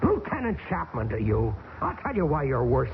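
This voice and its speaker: An actor playing a stranded air force lieutenant in an old radio drama, urgent and defiant. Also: male voice, medium pitch, wildly swooping pitch, moderate pace. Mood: urgent defiance. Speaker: lieutenant, lead character. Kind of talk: 1970s radio drama acting. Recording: tinny, hissy old radio broadcast.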